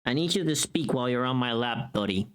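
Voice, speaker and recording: A woman's voice: rumbling low, animated, quick pace, clear, resonant tone. A woman livestream host chatting casually, rambling playfully and muttering to herself as she goes.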